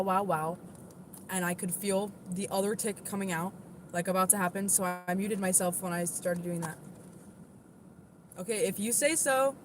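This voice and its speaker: A woman with a moderately deep voice talks casually on her livestream. She answers viewer comments in a defensive, chatty way, peppered with "like" and "literally". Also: a little hiss or hum.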